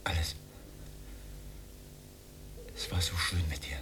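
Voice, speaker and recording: A man's voice: deep voice, steady tone, takes it slowly, sharp tone, exaggerated voice. An actor playing an earnest, pedantic, lonely old man in a radio drama, speaking formally. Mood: solemn, grave. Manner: solemn, formal, wordy. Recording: faint background noise, old radio recording.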